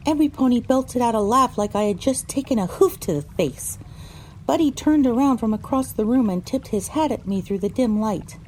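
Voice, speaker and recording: A woman narrates a scripted story in a high, soft-toned, mellow voice. Her reading is lively and varied, with faint noise behind it. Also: normal speed, low-quality recording. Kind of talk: scripted audio drama narration, first person.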